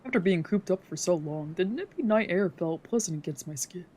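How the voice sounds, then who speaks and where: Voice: mid-range voice, lively intonation, brisk, darkish tone. A streamer reading a visual novel's narration aloud, occasionally stumbling over a word. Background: background music from a video game.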